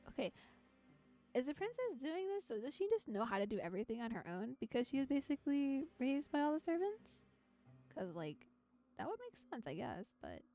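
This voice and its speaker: A woman's voice: a little high, warm tone. A woman streaming a visual novel read-aloud, narrating the text and breaking off into chatty, giggly, playful asides. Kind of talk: narrated visual novel reading with casual live commentary. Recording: music behind the voice. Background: background music.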